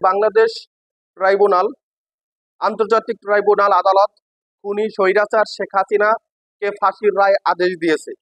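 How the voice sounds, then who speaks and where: A man's voice: high-pitched, level tone, normal speed, long pauses, a bit bright, faint music behind, poor audio. A man from Bangladesh with a Bangladeshi accent making an impassioned, emphatic political statement as an expatriate. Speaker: expatriate giving his opinion.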